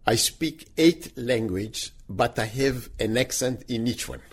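A man speaks slowly and deliberately in an interview. His voice has a husky, slightly breathy edge. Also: medium pitch. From Turkey.

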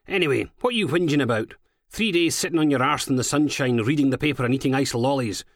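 Man putting on a brash, sweary Scottish policewoman, pitched up. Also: quick pace, dry recording, clean studio sound, natural voice. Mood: sarcastic. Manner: sweary, sardonic, uses Scottish slang. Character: brash, foul-mouthed, cynical female police inspector, scornful and impatient.

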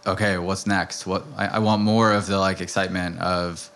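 A man's voice: low-pitched, flat delivery, normal speed, a bit bright. An American man speaking casually as a podcast guest, telling stories about himself in conversation. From suburbs of Philadelphia, United States. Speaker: podcast guest, travel content producer.

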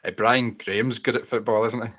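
A man's voice: medium pitch, level tone, fairly quick, a bit bright. A man hosting a casual football podcast, putting friendly questions to his panel. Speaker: podcast host. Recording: dull lo-fi podcast audio.

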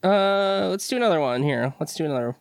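A man's voice: sits high, gravelly, background noise. A man hosting a baseball podcast, chatting casually and laughing often. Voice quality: laughs often, relaxed and unhurried. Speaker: podcast host.

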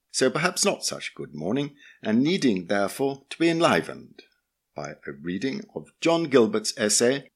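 Podcast host, male, British accent, lowish and very husky, for scripted essay readings.

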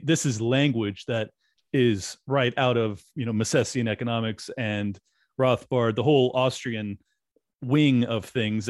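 A man's voice: mid-range voice, no echo, clean studio sound. A man hosting a podcast interview, talking casually with restarts and fillers. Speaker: podcast host. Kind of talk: conversational interview.

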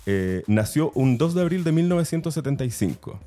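A man's voice: mid-range voice, sing-song, fairly quick, warm tone. A man with a Chilean accent co-hosting a podcast in a chatty, slangy, enthusiastic way. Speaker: podcast co-host. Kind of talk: casual podcast chat.

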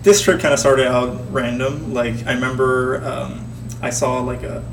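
A man's voice: medium pitch, fairly even intonation, moderate pace. A man, a Spanish speaker telling his story as a podcast guest in a reflective, hesitant way with many fillers. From Puerto Rico. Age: 20s. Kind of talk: casual conversational storytelling. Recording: roomy, distant, noisy with hum.

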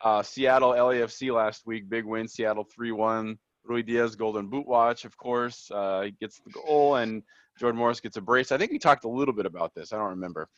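A man's voice: medium pitch, fairly quick, dry recording. A man hosting a casual sports podcast, chatty and upbeat, laughing often. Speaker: podcast host. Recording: dull lo-fi sound.

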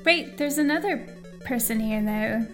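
High-pitched brash tomboy princess, acted by a woman. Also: unhurried, a bit bright, faint music behind. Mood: casual, urgent. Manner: casual, offhand. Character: Daisy, brash, rambunctious tomboyish young princess. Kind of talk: improvised comic radio play.

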